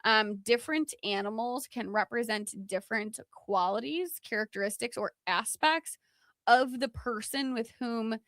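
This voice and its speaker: A woman's voice: a little high, measured pace, a bit bright, no echo, clean studio sound. Chatty woman co-hosting a podcast. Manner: informal, chatty. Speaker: podcast co-host. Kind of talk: casual podcast chat explaining folklore from notes.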